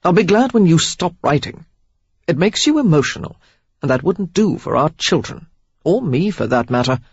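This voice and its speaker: Brief: woman doing an outraged, straitlaced young suitor, husky and clipped. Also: low voice, sing-song, moderate pace, no room sound, exaggerated voice. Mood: chiding. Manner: stern, clipped and reproachful. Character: stern, prudish young man, easily outraged. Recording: slightly dull lo-fi recording.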